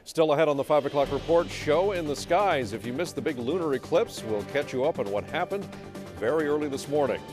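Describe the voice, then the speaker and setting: A man's voice: a little high, expressive, fairly quick, music in the background. American male TV news anchor. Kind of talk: scripted newscast delivery.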